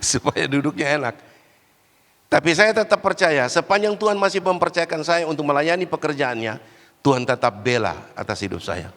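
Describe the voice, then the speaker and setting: A man preaching a Pentecostal sermon while fighting a cold and cough, swinging between loud, raised exhortation and low, quiet asides. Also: normal speed. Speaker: guest preacher. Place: large church hall. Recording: church hall with long reverb.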